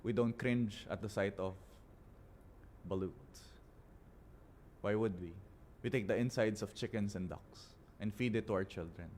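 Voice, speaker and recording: Low-voiced Filipino man performing spoken word. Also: unhurried, a little mellow, slight background noise. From Philippines. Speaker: guest spoken word poet.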